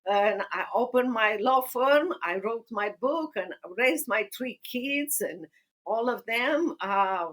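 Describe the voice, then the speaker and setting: Woman, Romanian accent, fairly high voice, earnest, deliberate, podcast host. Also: lively intonation. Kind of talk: podcast interview and personal storytelling.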